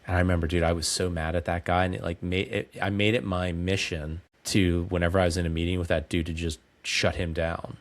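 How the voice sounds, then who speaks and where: A man in his forties with a very low, deep voice. He speaks candidly, in a measured way, as a podcast guest reflecting on his past. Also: level tone. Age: 40s.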